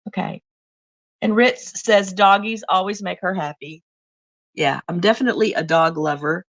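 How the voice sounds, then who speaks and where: A woman hosting a cooking livestream from her home kitchen, chatting to viewers in a rambling way while she works, her voice a little lower than usual from a cough. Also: moderate pace, dry recording, lo-fi sound. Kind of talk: casual livestream chat while baking. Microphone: phone mic.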